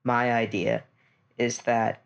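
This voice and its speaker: Slow, deliberate man telling a story. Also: mid-range voice, flat delivery, vocal fry. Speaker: player in a tabletop game. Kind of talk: improvised storytelling.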